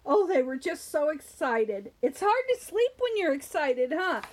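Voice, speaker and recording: Female children's storyteller: high register, very lively intonation, unhurried, speaks straight to the kids. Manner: animated, addresses children directly with questions and drawn-out words. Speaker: children's story reader and host. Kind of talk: storytelling to young children, reading aloud with simple explanations.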